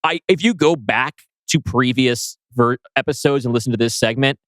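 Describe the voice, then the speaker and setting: A man's voice: medium pitch, moderate pace, a bit bright, no echo. A man co-hosting a podcast in casual chat, informal and rambling, with the odd mild swear. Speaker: podcast co-host.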